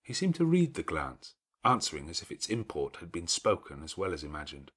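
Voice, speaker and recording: Male narrator for a classic novel audiobook, low-pitched, husky, scripted literary read. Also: huge pitch swings, fairly quick, fairly bright tone.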